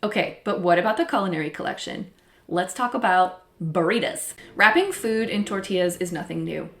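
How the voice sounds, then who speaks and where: A woman's voice: on the low side, expressive, fairly quick. Woman, young adult, solo podcast host, chatty, self-deprecating. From United States.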